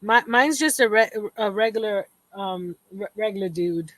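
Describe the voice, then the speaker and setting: A woman's voice: medium pitch, moderate pace, fairly bright tone, no room sound. A woman guest on a crafting livestream panel who laughs often and stumbles over repeated words. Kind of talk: casual livestream chat.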